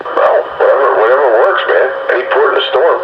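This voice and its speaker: A man's voice: medium pitch, lively intonation, fast talker, highs cut off. A middle-aged man chatting casually in a group over a noisy, boxy radio link with echo.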